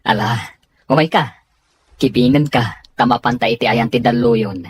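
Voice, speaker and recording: A man playing a gentle, reassuring young gentleman in an Ilocano radio drama. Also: mid-range voice, moderate pace, slightly rough, no echo, close to an everyday voice. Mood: gentle, coaxing.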